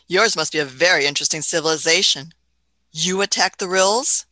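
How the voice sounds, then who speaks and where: Canny, doddering doctor, played by a woman: bright forward voice, dry sound, politely skeptical, hesitant, radio drama. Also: on the low side, normal speed, no echo. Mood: bemused, musing. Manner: hesitant, tutting, old-fashioned politeness with chuckles. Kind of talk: radio play acting.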